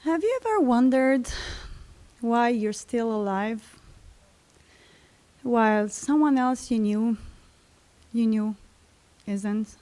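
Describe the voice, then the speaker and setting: A woman from Syria, telling a personal story to an audience in a slow, reflective way. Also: on the high side, expressive, warm tone. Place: library event stage.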